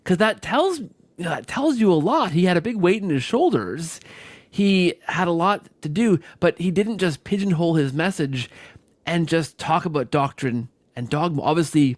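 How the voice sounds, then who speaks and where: A man's voice: a little high, lively intonation, normal speed, dry recording. A man hosting an interview podcast, reflecting conversationally with enthusiasm and often repeating or restarting his phrases. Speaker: podcast host.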